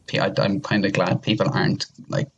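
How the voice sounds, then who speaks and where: A man's voice: deep voice, flat delivery, normal speed, creaky, a little hiss or hum. A man chatting casually as a podcast co-host, rambling and hesitant, with casual swearing. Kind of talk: casual chat about visual novels.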